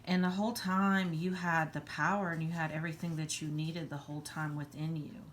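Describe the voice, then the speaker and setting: Woman, hoarse, low voice, tarot reader, halting with ums, faint background hiss. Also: little pitch movement. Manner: halting, many ums, clears throat often. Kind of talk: casual tarot reading.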